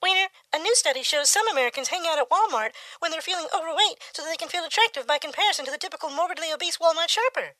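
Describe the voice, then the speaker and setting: A perky female TV co-anchor in a comedy audio play, played by a woman. She reads the news in a bright, very high voice, quick and crisp. The sound is close and dry. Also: expressive, no room sound, heavily acted. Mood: chipper. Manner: bright newscaster delivery.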